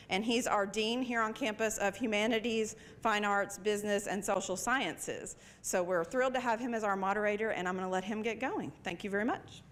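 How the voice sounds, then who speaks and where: A woman's voice: mid-range voice, fairly bright tone. A woman, a library director, giving a welcome speech at a live campus event, friendly and speaking with many fillers. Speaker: library director introducing a panel.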